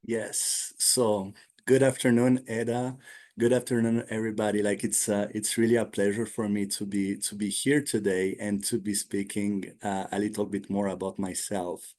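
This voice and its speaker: Man, 30s, English, lowish voice, hesitant, many fillers. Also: flat delivery, a little mellow. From Switzerland. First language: not English. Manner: halting, many fillers and restarts. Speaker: guest, attorney. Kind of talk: casual interview talk.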